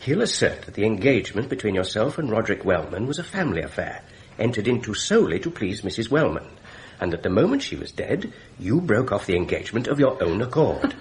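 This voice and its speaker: An actor playing a composed English family solicitor in a radio drama, formal, careful and discreetly firm. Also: male voice, lowish voice, big pitch swings, a little fast, a little hiss or hum.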